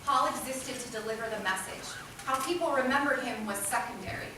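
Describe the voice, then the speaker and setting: A woman's voice: a little high, a little fast. A woman giving a scripted dramatic reading in a readers' theatre group. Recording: echoey hall, distant mic, loud noise.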